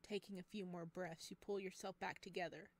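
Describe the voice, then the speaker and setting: A woman reading a visual novel aloud as a let's player, expressive, with casual chatty reactions. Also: lowish voice, fairly quick. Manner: expressive, exclaims and chats between read passages. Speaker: video game let's player.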